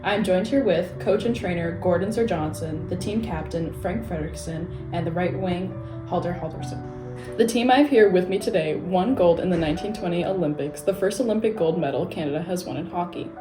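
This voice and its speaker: A woman's voice: on the low side, brisk, natural voice. A young woman playing a polite sports interviewer, presenting and asking questions from a script. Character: polite sports interviewer hosting a hockey team. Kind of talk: scripted mock interview hosting. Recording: echoey room, music behind.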